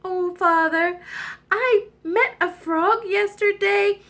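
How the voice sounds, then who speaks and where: A spoiled young princess from a storybook, squealing and whining in a shrill, high voice, as a woman storyteller puts her on. She drags out her words in disgust. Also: slow pace, a bit bright, full character voice. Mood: whiny.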